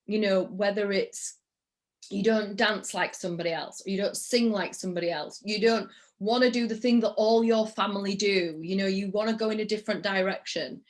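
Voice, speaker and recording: A woman's voice: medium pitch, fairly even intonation, moderate pace. Effusive woman with a Yorkshire accent. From England, Yorkshire. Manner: effusive, repeats words for emphasis. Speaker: podcast host. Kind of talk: casual interview chat.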